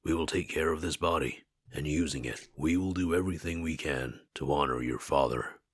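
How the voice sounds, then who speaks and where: A man voicing a calm ancient spirit in a borrowed body for a video game, speaking in a formal, measured, philosophical way. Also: no echo, clean studio sound. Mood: solemn, measured. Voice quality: very deep, husky. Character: calm ancient male spirit inhabiting a dead man's body.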